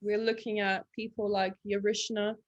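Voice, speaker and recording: A young woman who grew up in England after early childhood in Latvia, a Russian speaker, chatting casually as a podcast guest and pausing often to find her words. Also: medium pitch, dry recording, highs cut off. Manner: relaxed, thinks aloud with many fillers. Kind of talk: casual interview chat.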